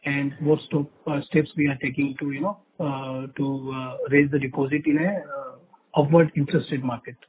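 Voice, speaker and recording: A man's voice: mid-range voice, little pitch movement, moderate pace, darkish tone. A man with an Indian accent asking questions as an analyst on an earnings call, in hesitant, stop-start phrases. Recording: faintly noisy conference call.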